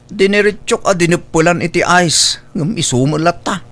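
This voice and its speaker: A man's voice: high voice, normal speed, a bit bright. A man with an Ilocano accent acting a stubborn, hard-drinking young suitor who whines and pleads in a comedy audio drama. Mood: impatient whining.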